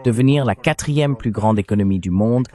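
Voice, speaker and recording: Low-voiced man, formal interviewer voice-over. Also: moderate pace, dark tone. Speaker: translated voice-over of the interview host. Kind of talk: translated voice-over of interview questions.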